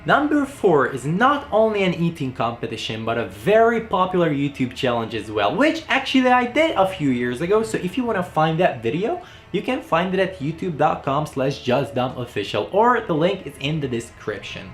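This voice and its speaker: Joking young Hungarian man, lively pitch. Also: normal speed, faint music behind. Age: young adult. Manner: playful, sarcastic asides. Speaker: guest host. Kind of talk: joking commentary.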